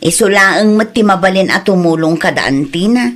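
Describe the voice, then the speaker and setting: A woman's voice: mid-range voice, brisk, lightly acted. A woman with an Ilocano accent playing an older rural wife and aunt who is concerned, in a conversational audio drama.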